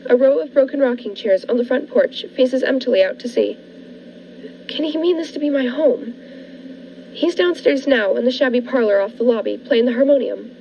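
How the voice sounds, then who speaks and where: A trapped, fearful wife played by a woman in an old radio thriller, with a very high voice and theatrical, anxious delivery. The audio is dull and lightly noisy. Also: moderate pace, gravelly, warm tone. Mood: weary, bleak. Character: frightened, trapped wife writing a despairing diary. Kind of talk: 1940s radio thriller acting. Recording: dull lo-fi radio-play audio, faint noise.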